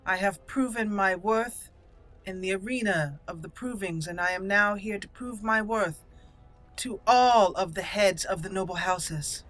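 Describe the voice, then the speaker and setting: Woman putting on a haughty, bright-voiced dwarven noblewoman. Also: medium pitch, faint music behind, lightly acted, low-quality recording. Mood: proud, dutiful. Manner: formal, deliberate, haughty. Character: proud, haughty female dwarven noble warrior. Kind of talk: video game roleplay.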